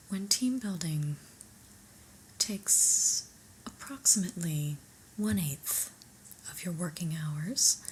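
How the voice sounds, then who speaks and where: Woman acting a slow, whispering, stern office boss. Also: low voice, slight background noise, poor audio. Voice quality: soft breathy whisper. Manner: deliberate, dry, officious, emphatic. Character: stern, unimpressed new female office boss. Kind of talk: ASMR roleplay monologue, whispered.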